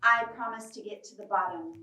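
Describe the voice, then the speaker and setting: A woman's voice: mid-range voice, brisk, bright, forceful tone, far from the mic. A woman voicing a hard-boiled cat detective who narrates a storybook case in a dramatic, clipped noir manner. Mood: brooding, determined. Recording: roomy, off-mic, music behind.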